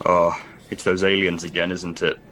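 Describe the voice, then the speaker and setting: A man playing a dry, sceptical military commander in an audio drama, clipped, polite and deadpan. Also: deep voice, normal speed, slight background noise, rough recording.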